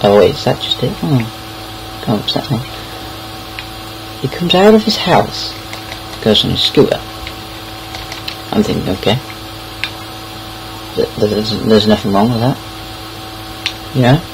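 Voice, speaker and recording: A man's voice: on the low side, animated, a little slow, pauses often, noisy background. A young British man casually telling anecdotes in a rambling, hesitant way, repeating words as he goes. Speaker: online storyteller.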